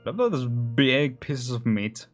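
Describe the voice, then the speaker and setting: Female game streamer: notably low pitch, casual, giggly, offhand commentary, light music behind. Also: expressive, slow. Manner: giggly, offhand, brief reactions. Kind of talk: casual gameplay reaction commentary.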